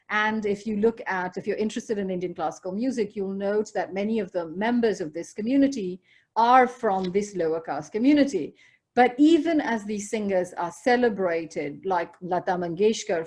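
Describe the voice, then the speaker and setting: A woman with a Goan accent delivers a scholarly keynote over a video call. Her voice sits at an ordinary middle pitch, and her delivery is measured and lecturing, with friendly asides to her listeners. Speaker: keynote speaker. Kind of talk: academic lecture. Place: video call from home or office. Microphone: computer or headset mic on a video call.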